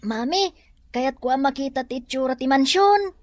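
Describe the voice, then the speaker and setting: A woman plays a small child in an audio drama, with a very high voice. The child sounds curious, eager and pleading. The sound is close and dry. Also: expressive, moderate pace, no echo. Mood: eager, delighted. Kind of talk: Ilocano radio-style drama acting.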